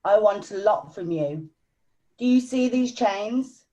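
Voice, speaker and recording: A woman's voice: medium pitch, expressive, slow, mellow. A woman playing a tormented, chained ghost who gives grave warnings, read over a dull, distant video call.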